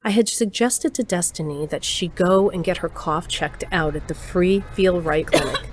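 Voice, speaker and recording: A woman's voice: fairly low pitch, moderate pace, natural voice. An actress playing an uneasy woman who narrates her suspicions in a scripted first-person audio drama. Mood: matter-of-fact.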